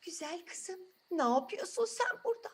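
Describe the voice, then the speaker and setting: A woman's voice: extremely high pitch, big pitch swings, normal speed, voice pushed up. A woman voicing a motherly, food-loving female field mouse, fussing and coaxing, in a children's tale. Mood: concerned, coaxing.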